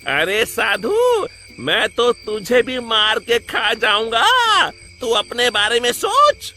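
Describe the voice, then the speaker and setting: A performer voicing a menacing, bloodthirsty male ghost in a cartoon moral story, with drawn-out taunting cries. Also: mid-range voice, huge pitch swings, faint music behind, pitched above the performer's own voice, full character voice. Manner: slow, drawn-out taunting shouts.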